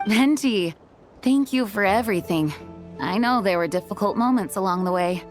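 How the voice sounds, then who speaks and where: A woman plays a dutiful game knight commander with a mid-pitched voice. She is poised, courteous and earnest, with faint music behind. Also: animated, moderate pace, close to an everyday voice. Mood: apologetic. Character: gracious, dutiful young female knight commander. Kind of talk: video game voice acting.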